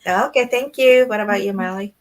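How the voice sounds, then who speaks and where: A woman from Japan, hosting a live online business chat in an animated, chatty way. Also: mid-range voice, brisk. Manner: animated, chatty, laughs often. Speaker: host.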